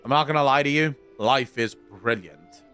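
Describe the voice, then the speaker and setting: A man's voice: mid-range voice, moderate pace, sharp tone, clean tone, faint music behind. A South African man streaming a game, chatting casually with viewers and swearing now and then. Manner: chatty, swears casually, reads and answers chat. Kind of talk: casual gameplay livestream chat.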